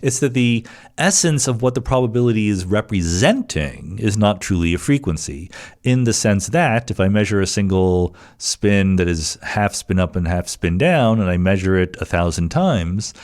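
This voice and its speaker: A man's voice: low-pitched, darkish tone. A middle-aged man hosting a podcast, answering listener questions in a conversational way with frequent fillers and restarts. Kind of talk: solo Q&A podcast, thinking aloud.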